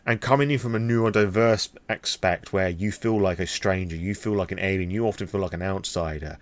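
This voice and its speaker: A British man with a fairly low voice talks straight to his audience in an unscripted vlog. He is candid and reflective, feeling his way through his thoughts and swearing casually as he goes. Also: a little fast. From Britain. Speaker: vlogger. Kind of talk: impromptu personal talk to the audience.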